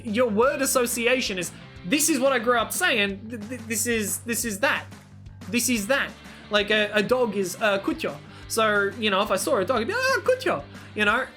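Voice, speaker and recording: Young aussie woman streamer, crisp bright tone, rambly and chatty, some faint music behind. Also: mid-range voice. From Australia. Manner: rambling, self-interrupting, exclaiming in surprise. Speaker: streamer reacting to clips. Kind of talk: casual reaction commentary.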